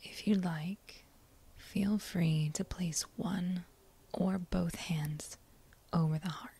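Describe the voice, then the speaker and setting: A woman guiding a meditation in a breathy whisper, gentle and soothing, with long unhurried pauses between phrases. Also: lowish voice, fairly even intonation. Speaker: meditation guide. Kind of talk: guided meditation, whispered soft-spoken.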